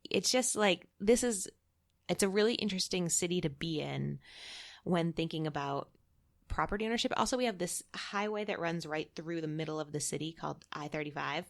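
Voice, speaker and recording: A woman's voice: fairly low pitch, a little fast, gravelly. A woman from Massachusetts, a guest in a casual interview, talking chattily and often laughing. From Massachusetts, USA.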